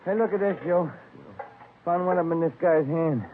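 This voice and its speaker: A keyed-up police officer played by a man gives short, clipped reports in a high voice. The style is 1950s radio crime drama, on a tinny recording with clear noise. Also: normal speed, lightly acted. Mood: keyed-up, brisk. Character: keyed-up male police officer at an arrest scene.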